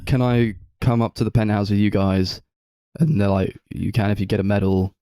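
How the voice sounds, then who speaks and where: A man chats as a podcast co-host in a casual film discussion. His voice is slightly low and very mellow. He rambles, dropping "like" often, and the recording is dry. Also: level tone, moderate pace, smooth, no echo. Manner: rambling, full of "like".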